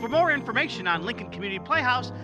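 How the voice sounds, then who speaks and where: Female American credits announcer, high-pitched, very lively intonation, scripted delivery, music behind. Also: racing.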